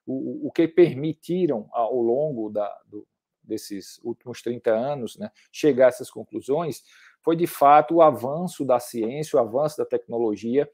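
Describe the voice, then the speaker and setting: Brazilian guy, middle aged, soft dark mellow voice, halting lecture over a video call, repeats words a lot. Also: medium pitch, husky. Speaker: climate researcher, guest lecturer. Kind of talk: slide lecture at an online academic workshop.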